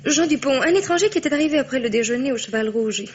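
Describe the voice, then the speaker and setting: A young woman playing an earnest, well-bred, spirited granddaughter in a radio drama, polite and at times hesitant, with a slightly breathy, husky voice. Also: high voice, animated, fast talker, a little mellow, lo-fi sound, slight background noise. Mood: curious. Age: young adult. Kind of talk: French radio drama acting.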